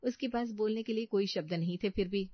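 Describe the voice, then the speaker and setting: Female storyteller, scripted narration, middle pitch, close dry but muffled audio. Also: fairly quick, no echo.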